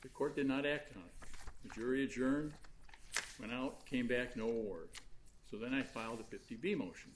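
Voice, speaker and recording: Man, soft voice, appellate argument, halting with fillers, large courtroom, mid pitch. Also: a little hiss or hum, poor audio. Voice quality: soft-spoken. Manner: halting, with many fillers and restarts. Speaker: attorney arguing an appeal. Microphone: fixed courtroom recording mic.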